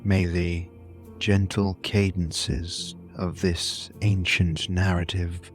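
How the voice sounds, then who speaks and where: A man narrating a scripted bedtime story in a soothing, deliberate manner, with long pauses between short phrases. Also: sits low. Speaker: host and narrator of a sleep story podcast.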